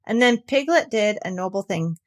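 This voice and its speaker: A woman's voice: medium pitch, lively intonation, moderate pace. A woman reading a children's story aloud as scripted narration. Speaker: storybook reader.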